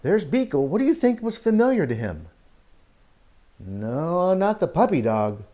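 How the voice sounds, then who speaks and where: A man reads a storybook to young children like a teacher. His pitch swings widely, and he takes the story at a slow pace. He is expressive, with exclamations and questions put to his young listeners. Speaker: teacher reading a picture book to young children. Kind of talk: animated read-aloud storytelling with asides to children.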